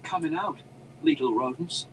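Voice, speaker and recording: A hysterical old hermit wizard, pitched well up into a shriek. He rants in broken grammar, cranky and paranoid, with wildly swinging intonation and faint noise behind. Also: sing-song, unhurried, rough recording. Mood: calling out. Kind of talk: audiobook character voice.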